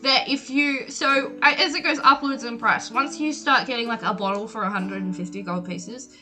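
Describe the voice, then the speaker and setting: A woman in her twenties running a tabletop game on a group video call, chatty and quick to laugh. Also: on the high side, bright, forceful tone, soft background music. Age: 20s. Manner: giggly, chatty, rambling narration. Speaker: game master.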